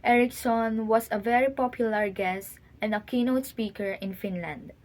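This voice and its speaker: A woman's voice: a little high, normal speed. A young woman, a student presenter, reading aloud from a scripted class presentation. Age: young adult.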